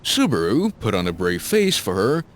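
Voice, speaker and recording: A man's voice: lowish voice, wildly swooping pitch, moderate pace. A man narrating a scripted fantasy story as a dramatic audiobook-style narrator.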